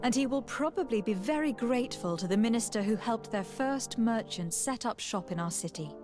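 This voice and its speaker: A woman's voice: on the high side, lively intonation, fairly quick, over music, natural voice. A woman playing a composed, courteous noble diplomat in a video game, speaking politely and formally. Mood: diplomatic, pointed. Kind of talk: video game dialogue acting.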